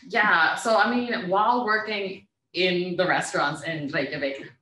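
A woman's voice: lowish voice, a little slow, sharp tone, far from the mic. A young woman from Mexico, a co-founder talking casually to students about her path. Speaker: guest speaker, co-founder. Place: university classroom. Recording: echoey classroom, mic from afar.

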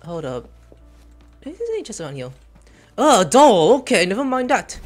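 A woman's voice: medium pitch, very animated, normal speed, faint music behind. A woman casually commenting while playing a video game, playful and jokey, talking to herself. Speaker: streamer.

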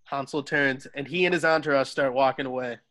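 A man's voice: medium pitch, steady tone, a little fast, fairly bright tone. A man narrating stage directions from a script in an amateur table read over a video call.

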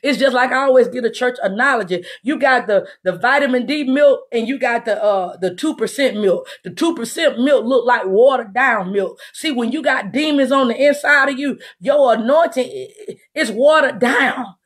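A woman's voice: a little high, moderate pace, husky. A middle-aged woman preaching and praying in a fervent, emphatic, repetitive charismatic style. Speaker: apostle leading a livestream prayer broadcast. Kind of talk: fervent charismatic sermon and prayer. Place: room at home, indoors.

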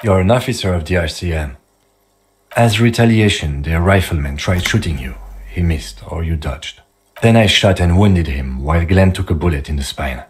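An actor playing a calm, dutiful police lieutenant in a video game, speaking in a measured, clipped, understated way. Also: male voice, deep voice, lightly acted. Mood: subdued, factual. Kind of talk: video game dialogue acting.

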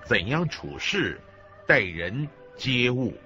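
A man reading lines in a scripted religious radio drama with deliberate, emphatic delivery. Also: medium pitch, very animated, bright, forceful tone, heavily acted. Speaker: supporting reader. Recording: muffled audio with music bed. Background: background music.

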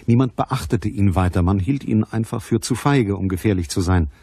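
Male lead, crime audio drama: wry private eye, low and husky, dry and in command. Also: moderate pace, mellow. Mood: wry narration. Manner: matter-of-fact, wry. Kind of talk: German crime radio play, dialogue and narration.

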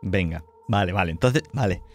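A man's voice: deep voice, moderate pace, a little mellow, soft background music. A man with a Castilian Spanish accent narrating and refereeing a tabletop roleplaying game in a conversational way. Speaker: game master.

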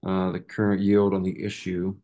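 A man's voice: low voice, monotone, moderate pace, mellow, highs cut off. A young man on a video call, a student tentatively reasoning aloud through practice exam questions. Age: young adult. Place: indoor room on a video call.

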